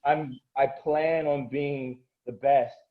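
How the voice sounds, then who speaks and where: A man's voice: medium pitch, fairly even intonation, slow, narrow, tinny audio. A man who coaches and grew up speaking Korean, talking earnestly and motivationally in a casual interview, often restarting his sentences.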